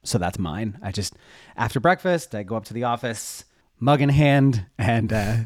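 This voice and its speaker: A man's voice: medium pitch, animated, moderate pace, darkish tone, no echo. Casual, rambling man in a close, dry recording. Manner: informal, rambling, self-interrupting. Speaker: interview guest, web developer and newsletter writer. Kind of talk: casual conversational interview answers.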